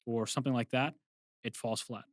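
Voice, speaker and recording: Young adult male guest, from Brazil, mid-pitched, casual storyteller, dry studio sound. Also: fairly quick, dry recording. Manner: relaxed, rambling with restarts and asides. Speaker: guest, film composer. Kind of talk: casual interview chat.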